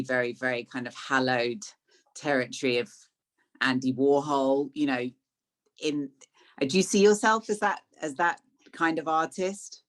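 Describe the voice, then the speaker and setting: An interviewer asking conversational, wordy questions with effusive, hesitant phrasing. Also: mid-range voice.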